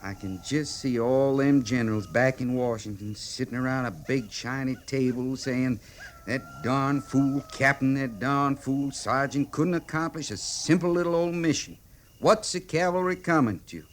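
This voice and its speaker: A man's voice: mid-range voice, normal speed, lightly acted. A man playing a wry, grumbling cavalry sergeant with a rural American drawl in an old-time radio drama. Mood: wry, folksy. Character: folksy, grumbling frontier cavalry sergeant, wry under danger. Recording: old radio recording, faint noise.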